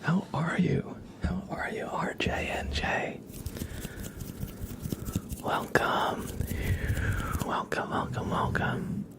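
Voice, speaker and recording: A man hosting an ASMR livestream, speaking in a hushed, breathy whisper as he chats with viewers. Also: takes it slowly, pauses often. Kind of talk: ASMR, live chat with viewers.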